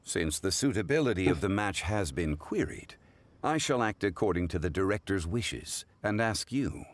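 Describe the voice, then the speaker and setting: Very deep, eloquent scholarly game gentleman. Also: lively intonation. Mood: composed, appraising. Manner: measured, formal, literary phrasing. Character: erudite, courteous scholarly man judging poetry. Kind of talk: scripted video game dialogue.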